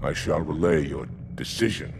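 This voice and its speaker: Deep, gravelly alien commander. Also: male voice, normal speed, background noise. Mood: stiffly deferential. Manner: formal, forceful. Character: proud, indignant male alien warrior commander.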